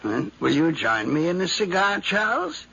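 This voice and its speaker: In a muffled 1950s radio drama, a domineering older uncle. His sharp, querulous voice swings widely in pitch as he fusses and insists with slow deliberation. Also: sing-song, gravelly, exaggerated voice. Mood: insistent. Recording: muffled, faintly hissy 1951 radio recording.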